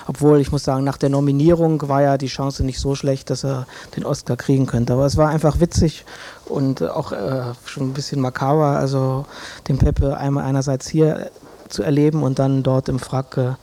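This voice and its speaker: A man gives a relaxed, colloquial interview. His voice is dark and soft-edged, with little pitch movement. He hesitates now and then and tags his statements with small questions. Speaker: interviewee from a local media workshop. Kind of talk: casual spoken interview.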